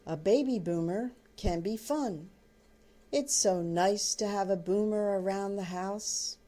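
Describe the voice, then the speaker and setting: An older woman reading her own book aloud as storybook narration, in deliberate, halting phrases. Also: mid-range voice, darkish tone.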